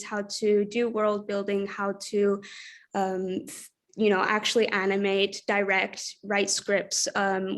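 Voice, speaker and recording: A young woman in her twenties with an American accent, talking casually on a video call as a project coordinator at an online info session. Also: medium pitch. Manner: casual, chatty, many fillers.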